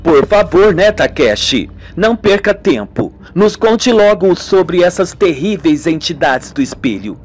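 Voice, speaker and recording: A man's voice: a little high, big pitch swings, a little slow, hardly any pauses, breathy edge, heavily acted. A man voicing a serious, direct female police investigator in an amateur radio soap, over music. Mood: eager.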